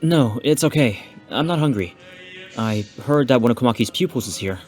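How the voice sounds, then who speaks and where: A man's voice: medium pitch, animated, normal speed, a little mellow, faint music behind, close to an everyday voice. A man playing an earnest, determined young martial arts student in an audio drama, with an emphatic way of speaking. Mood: calm.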